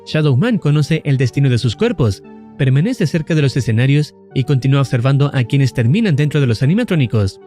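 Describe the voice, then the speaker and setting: A man narrating a scripted analysis of a horror series in a measured, explanatory way. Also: mid-range voice, lively intonation, dark tone, faint music behind. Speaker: lore channel narrator.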